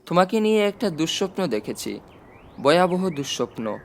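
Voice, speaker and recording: Man reading as high-voiced fatherly army chief. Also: very animated, moderate pace, slight background noise. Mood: fatherly, concerned. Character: army chief uncle, affectionate, confident, older than his listener. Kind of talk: storybook reading.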